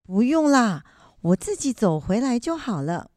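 A stubborn but cheerful grandmother, acted by a woman in a family radio drama. She speaks in a fairly high, very animated voice, insistent and colloquial, recorded dry. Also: unhurried, no echo. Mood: protesting. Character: stubborn, cheerful grandmother determined to go back to school.

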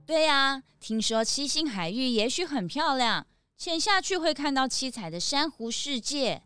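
Actress voicing curious eager traveler, high, lively intonation, kids' radio play, music behind. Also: female voice, normal speed, heavily acted. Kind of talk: children's audio detective drama acting.

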